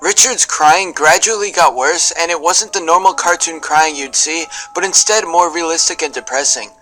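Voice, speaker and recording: A man's voice: on the high side, normal speed, pushed and bright, rough recording. A man reading scripted narration for an online video, with music behind him. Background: background music.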